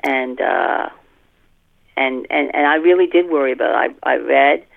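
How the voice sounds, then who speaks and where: Creaky-voiced woman on a phone line. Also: a bass voice, big pitch swings. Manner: halting, repeats and rephrases phrases. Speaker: author being interviewed. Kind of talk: casual podcast conversation. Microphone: telephone. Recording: muffled, tinny telephone line.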